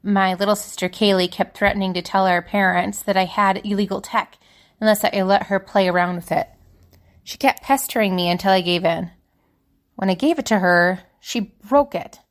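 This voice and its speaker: A woman's voice: medium pitch, lightly acted. A woman playing an earnest, apologetic young spellcaster reading out her letter in an audio drama. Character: earnest young female spellcaster writing to a dear friend.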